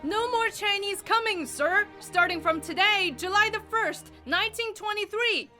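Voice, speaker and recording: Piping, excited street newsboy, with a music bed. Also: female voice, very high voice, normal speed. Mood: hawking. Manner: shouting, bright and eager. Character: eager young newsboy shouting headlines. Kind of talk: audio drama acting.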